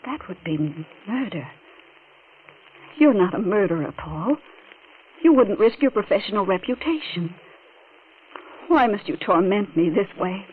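A woman's voice: mid-range voice, lively intonation, a little slow, pauses often, breathy edge. An actress playing an anxious young wife pleading for her husband in a 1940s radio drama, earnest in her manner. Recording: tinny old radio broadcast.

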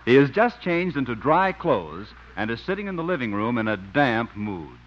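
A man's voice: medium pitch, big pitch swings, normal speed, a little hiss or hum. A man reading scripted narration as a 1950s radio announcer, formal and polished. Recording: dull old radio recording with music.

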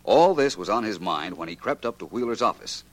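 A low-pitched American detective played by a male actor, shrewd and sure of himself, with lively swings of intonation. He lays things out deliberately and wryly in classic 1940s radio drama style. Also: natural voice. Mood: confident explaining. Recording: vintage 1940s radio recording.

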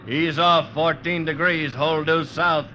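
A man's voice: fairly high pitch, fairly even intonation, slow, bright, forceful tone. A man acting a dutiful junior ship's officer in a 1950s radio drama, reporting deferentially. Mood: dutiful, concerned. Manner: deferential, crisp naval reporting. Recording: muffled, noisy old radio recording.

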